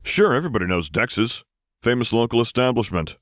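A man's voice: mid-range voice, sing-song, fairly quick, a bit bright, no room sound, pitched above the performer's own voice. A cocky, easygoing male pilot, voiced by a male reader. He talks in a casual, breezy, reassuring way in this storybook reading, with a dry, close sound.

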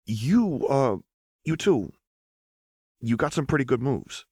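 Man voicing high-pitched awkward young fighter. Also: big pitch swings, slow, a little mellow, husky, no echo, studio quality, full character voice. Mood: awkward, hesitant. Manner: hesitant, stammering.

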